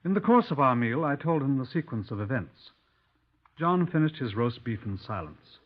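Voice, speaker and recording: Measured police inspector on dull old radio. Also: male voice, mid-range voice, very animated. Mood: measured narration. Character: measured, persistent Scotland Yard police inspector. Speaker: narrator and lead actor. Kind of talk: 1950s radio crime drama narration and dialogue. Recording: dull 1950s radio recording.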